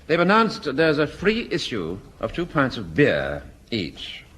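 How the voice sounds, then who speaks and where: An actor with a genteel English accent playing a mild, hesitant, polite middle-aged sergeant in a radio sitcom. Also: male voice, mid-range voice, wildly swooping pitch, a little slow, fairly bright tone, husky, heavily acted. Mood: polite, vague. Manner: hesitant, polite, vague, drily understated. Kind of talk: British radio sitcom acting. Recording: hissy old radio comedy recording.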